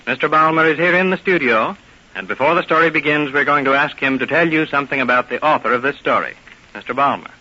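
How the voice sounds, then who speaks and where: Male announcer, old-time radio. Very sharp, forceful tone, formal scripted delivery, dull archival sound. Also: on the high side. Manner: formal, measured.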